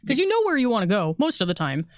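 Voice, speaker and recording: A woman's voice: mid-range voice, sing-song, fast, no room sound, clean studio sound. A woman talking casually as a game designer on a panel, explaining things in an informal, conversational way.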